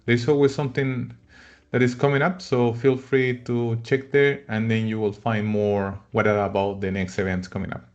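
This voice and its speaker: Man from Chile hosting a webinar, lo-fi. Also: medium pitch. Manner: friendly, non-native phrasing with frequent fillers. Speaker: webinar host. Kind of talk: live webinar presentation.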